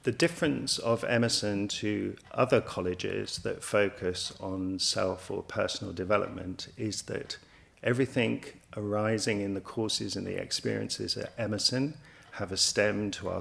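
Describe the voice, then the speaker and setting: A man on a college's staff speaking earnestly and deliberately in a promotional interview. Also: fairly low pitch, vocal fry, a bit bright. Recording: music behind the voice. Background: background music.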